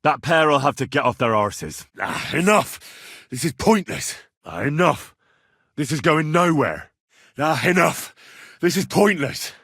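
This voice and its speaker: Casting: brash young medieval squire, husky, lively intonation, blunt and quick-tempered game hero, dry close sound. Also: male voice, fairly high pitch, dry recording, heavily acted. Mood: exasperated. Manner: blunt, colloquial, assertive. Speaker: video game protagonist. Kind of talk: video game dialogue acting.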